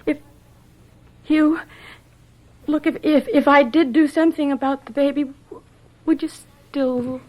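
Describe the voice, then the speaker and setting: An actress playing a distressed, lovelorn young woman in a radio drama, speaking in a halting, pleading, emotional way. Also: high-pitched, fairly even intonation, darkish tone, low-quality recording.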